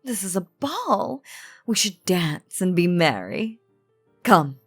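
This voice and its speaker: A woman's voice: fairly low pitch, big pitch swings, takes it slowly, a bit bright, slightly rough, soft background music, exaggerated voice. A woman playing an elegant ancient vampire in a scripted audio drama, teasing and coaxing with theatrical, old-fashioned formality. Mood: playful, coaxing. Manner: theatrical, archaic, velvety formal phrasing.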